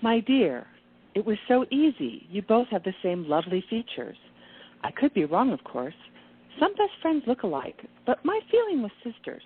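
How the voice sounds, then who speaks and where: A woman's voice: on the high side, big pitch swings, normal speed, breathy edge. A woman acting a gushing, theatrical singer who speaks effusively and affectionately, in a radio play. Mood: gushing, charming. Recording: tinny phone-like lo-fi audio.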